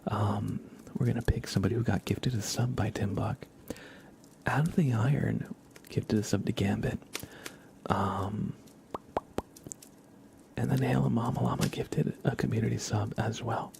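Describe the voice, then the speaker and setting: A man hosts an ASMR livestream, chatting casually and gently in a hushed whisper, close to the microphone. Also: fairly low pitch, unhurried, creaky, long pauses, background noise. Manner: casual, friendly, thanking viewers. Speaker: livestream host. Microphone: close microphone with compression and limiter.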